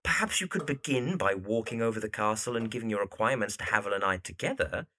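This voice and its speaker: A man acts an earnest young architect in a period audio drama. His voice is bright and animated. He stammers and hesitates, always courteous and easily flustered. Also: lowish voice, fast talker, slight background noise.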